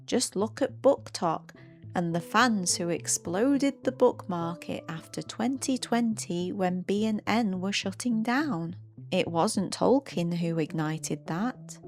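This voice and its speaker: A woman reading written online comments aloud in a measured, earnest way. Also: mid-range voice, darkish tone, soft background music.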